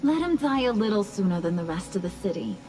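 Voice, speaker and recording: A woman voicing a flirty, menacing villain sidekick in a video game, sassy and quick with pet names and threats. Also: medium pitch, very animated, warm tone, natural voice, poor audio. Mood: impatient, scornful. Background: music.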